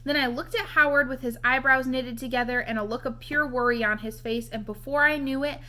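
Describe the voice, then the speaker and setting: A woman reading a children's novel aloud as an expressive storyteller. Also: high voice, fairly quick. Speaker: read-aloud reader.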